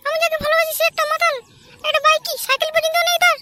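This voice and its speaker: Man doing a squeaky, whiny cartoon girlfriend. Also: level tone, racing, bright, forceful tone, slight background noise, exaggerated voice. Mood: pleading. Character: young woman, pleading, nagging girlfriend of a hot-headed slacker. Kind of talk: amateur comedy cartoon dub.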